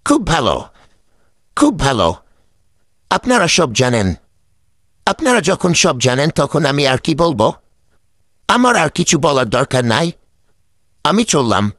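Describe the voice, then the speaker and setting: Casting: male actor for wily cartoon mullah, droll, raised pitch, lively intonation, repeats himself. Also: takes it slowly, long pauses, background noise. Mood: dryly teasing. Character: droll, wily village wise man, a mullah. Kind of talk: animated cartoon dialogue.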